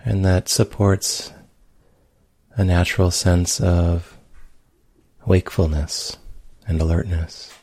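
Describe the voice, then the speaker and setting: A man leading a guided meditation in a gentle, unhurried manner with long pauses. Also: low voice, flat delivery, no echo. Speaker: meditation teacher.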